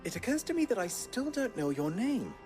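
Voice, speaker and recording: An actor playing a suave, silver-tongued fixer in a video game, eloquent and wry. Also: male voice, high voice, sing-song, a little fast, a little mellow, faint music behind. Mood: wry, courteous. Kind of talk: theatrical video game acting.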